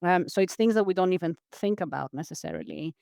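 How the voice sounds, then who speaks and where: A woman from Greece speaks as a guest on a podcast, earnest and reflective, often repeating words as she restarts a thought. Her voice is smooth and resonant, and the recording is close and dry, with no room sound. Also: lowish voice, animated, quick pace, dark tone, dry recording. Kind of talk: reflective interview talk.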